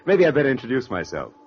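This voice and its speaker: An actor playing a breezy, self-assured man with an amused, smooth-talking manner in 1940s radio drama. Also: medium pitch, sing-song, normal speed. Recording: dull vintage radio recording.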